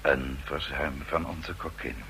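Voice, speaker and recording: Brief: a watchful house servant, formal and unhurried, deep voice with a tense edge. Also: male voice, fairly even intonation, bright, forceful tone, a little hiss or hum, exaggerated voice. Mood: formal, polite. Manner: formal, polite, deliberate. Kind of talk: vintage radio drama acting.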